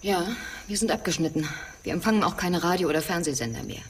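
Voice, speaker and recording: An actress playing a quiet, placating island researcher, restrained and soft-spoken, in a radio drama. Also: female voice, on the low side, fast, slight background noise, close to an everyday voice. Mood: matter-of-fact. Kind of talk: German radio drama acting. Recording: faint background ambience, animal sounds.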